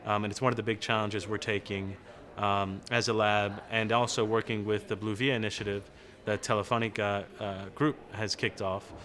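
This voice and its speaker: A man in his thirties speaks as a corporate representative in an informal interview. His voice is somewhat low in pitch. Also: fairly bright tone. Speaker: corporate innovation team representative. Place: large open-plan office, indoors.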